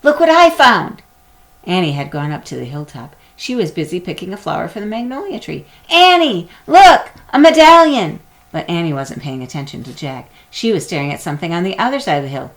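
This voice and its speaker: A woman narrating a children's chapter book aloud in an expressive storytelling manner. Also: deep voice, quick pace, few pauses. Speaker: storybook reader.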